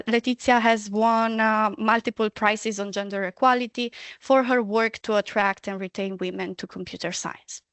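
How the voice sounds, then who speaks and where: A woman moderating an online panel, introducing speakers with measured pauses and fillers. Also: a little high, fairly bright tone, smooth, no room sound.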